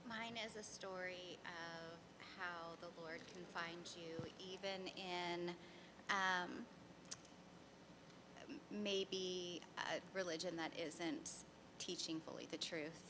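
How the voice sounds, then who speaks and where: A woman from the congregation telling her faith story to a church group in a halting, measured way. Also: fairly low pitch, background noise. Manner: halting and measured, flat delivery. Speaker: congregation member sharing testimony. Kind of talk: personal testimony told to a church group.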